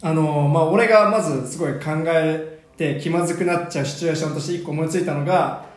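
A man's voice: fairly high pitch, fast, echoey room. A man co-hosting a radio programme, chatting casually as he explains things in a chatty, agreeable way. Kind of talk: casual scripted-intro radio chat, explaining a new segment.

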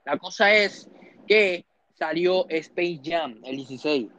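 A man's voice: a little high, slow pace, pushed and bright. Laid-back guy, lo-fi. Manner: laughing, rambling, mixing English phrases. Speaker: podcast co-host. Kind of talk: casual chat about movies and basketball. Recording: dull lo-fi sound.

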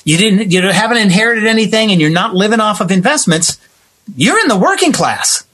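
Man, husky, lively intonation, emphatic, explanatory, conversational interview guest. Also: high-pitched, brisk. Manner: emphatic, explanatory, acting out imagined remarks. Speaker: guest, playwright and director. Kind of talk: impassioned conversational interview talk.

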